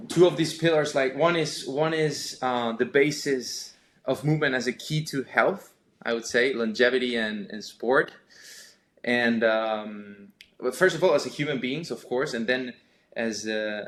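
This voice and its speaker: A young man with an Argentine Spanish accent, a Spanish native speaker, answering podcast questions in a halting way with many repeats and fillers. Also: medium pitch, a bit bright, slight background noise. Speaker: podcast guest, sports scientist. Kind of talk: casual interview answers.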